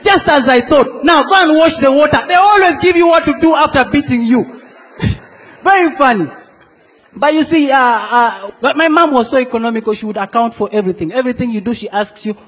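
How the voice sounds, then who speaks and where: A man's voice: very high voice, a bit bright, husky, big-room sound. A young man from South Sudan performing a stand-up comedy set, playfully acting out the people in his stories. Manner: playful, acts out quoted characters. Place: comedy stage in a large hall before a laughing audience.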